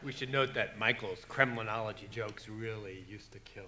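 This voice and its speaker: A man speaking on a legal panel, measured, with a dry wit. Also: fairly low pitch, noisy background. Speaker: conference panelist. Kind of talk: panel discussion.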